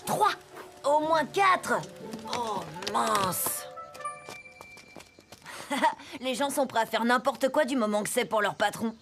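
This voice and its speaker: A woman's voice: on the high side, lively intonation, over loud music. Woman voicing a cheeky scheming schoolboy. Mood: bossy. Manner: fast, bossy, persuasive. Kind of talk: animated series dub.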